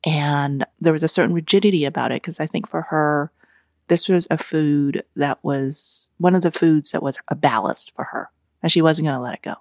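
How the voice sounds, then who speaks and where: Donna loquace dalla voce grave. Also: female voice, low voice, normal speed, no room sound, clean studio sound. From Vietnam. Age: 40s. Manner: animated, laughs often, acts out family quotes. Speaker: guest. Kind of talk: casual storytelling interview.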